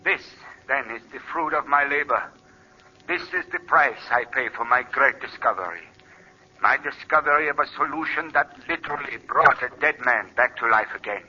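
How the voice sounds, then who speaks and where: Grave, sharp-voiced old-radio scientist. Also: male voice, medium pitch, a little hiss or hum. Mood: bitter dread. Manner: solemn, measured, portentous. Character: grave, regretful scientist doctor reading his notebook entries. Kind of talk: 1940s radio drama acting. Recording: old radio recording, telephone-like band.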